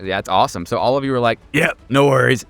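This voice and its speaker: A man's voice: mid-range voice, fast, vocal fry, a bit bright. Casual, profane man running a horror game. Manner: profane, joking. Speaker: game master. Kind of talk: casual, improvised narration of a horror tabletop game.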